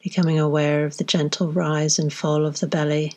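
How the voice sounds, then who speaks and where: Low-voiced woman guiding a slow meditation. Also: little pitch movement. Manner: slow, gentle instruction with long pauses. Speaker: meditation guide. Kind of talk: guided body scan meditation.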